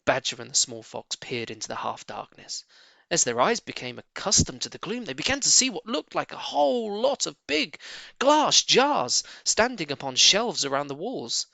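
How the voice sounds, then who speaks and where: Animated male storybook reader, creaky and bright. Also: a little high, moderate pace, no room sound. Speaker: audiobook reader. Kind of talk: expressive children's storybook narration.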